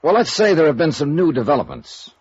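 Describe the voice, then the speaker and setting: An American man acting a dry, persistent, smooth-talking insurance investigator in a 1950s radio noir drama. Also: on the high side, lively intonation, fairly quick, no room sound, full character voice. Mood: smoothly persistent. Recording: dull vintage radio recording.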